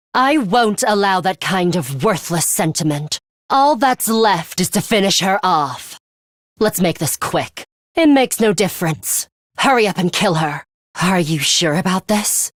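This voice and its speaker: A woman's voice: mid-range voice, no room sound, clean studio sound, natural voice. A woman voicing a cold, ruthless young female fighter in an English video game, composed, blunt and commanding, with a bloodthirsty streak.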